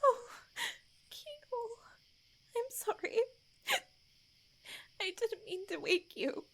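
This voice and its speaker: A woman voicing a gentle, long-lived wife with a soft, tender, plaintive way of speaking in a fan drama dub. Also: very high-pitched, big pitch swings, slow pace, vocal fry, full character voice. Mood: tearful grief. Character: gentle grieving wife, long-lived, mourning lost friends. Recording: music bed with plucked guitar.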